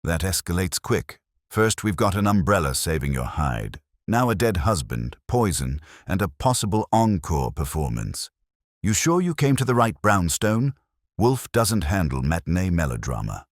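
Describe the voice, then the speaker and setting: A man's voice: low voice, very animated, normal speed, no room sound, clean studio sound. A man voicing a wisecracking, skeptical detective's assistant in a hard-boiled audiobook. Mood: sardonic.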